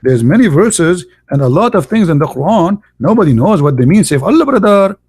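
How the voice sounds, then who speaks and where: A man's voice: high voice, sing-song, brisk, a little mellow, breathy edge. A man with an Arabic accent, a native Arabic speaker, hosting a live stream and arguing heatedly in mocking, emphatic English with non-native grammar. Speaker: live-stream host debating callers. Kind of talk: heated religious debate talk.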